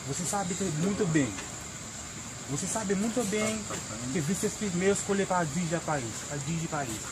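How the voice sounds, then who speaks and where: Insistent high-voiced Haitian man, noisy recording. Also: lively intonation. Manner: insistent, repetitive, halting non-native phrasing. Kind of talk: spontaneous confrontation in public.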